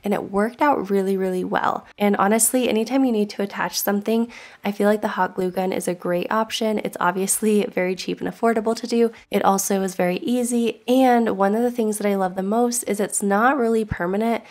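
A woman's voice: medium pitch, steady tone, a little fast, darkish tone. A woman in her thirties, chatting upbeat and enthusiastic as she shows her home organizing in a vlog. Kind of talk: casual voice-over and to-camera chat. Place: at home, in a storage closet and upstairs hallway.

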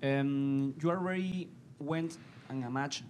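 A man, a reporter, asking a hesitant, halting question at a press conference. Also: medium pitch, noisy background.

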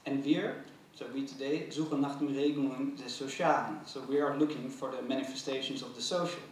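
A man's voice: mid-range voice, fairly even intonation, a little fast, slight background noise. A man in his thirties with a Dutch accent, answering a lecture as a curator in thoughtful, hesitant academic talk with many fillers. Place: large museum auditorium. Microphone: stand mic at table. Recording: very echoey hall, mic from a distance.

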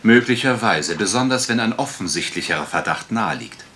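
An actor playing a politely relentless English gentleman detective in a German radio crime drama, questioning with insinuation. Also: on the low side, animated, fairly quick, slight background noise. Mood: composed.

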